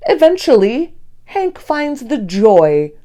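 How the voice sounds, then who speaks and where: A woman narrates a children's storybook in a fairly high voice. Her delivery is very melodic and slow, with careful storyteller phrasing that suits young listeners. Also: very animated, mellow. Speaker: read-aloud host. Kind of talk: expressive children's picture book narration.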